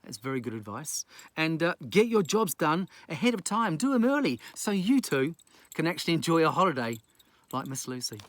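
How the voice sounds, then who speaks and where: Male, children's storyteller, theatrical pirate persona, bright forceful tone, very animated intonation. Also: on the high side, moderate pace, dry recording. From New Zealand. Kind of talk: rhyming children's story read aloud with casual asides.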